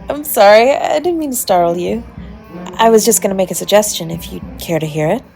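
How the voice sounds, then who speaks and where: A woman's voice: medium pitch, animated, moderate pace, gravelly, darkish tone. A woman playing a charming, flirtatious stranger at a party, chatty, coy and teasing, in an intimate romantic audio roleplay. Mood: charming, inviting. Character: charming, playfully flirtatious adult party guest, smooth-talking stranger. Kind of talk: romantic audio roleplay, intimate one-sided dialogue to the listener. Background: music behind the voice.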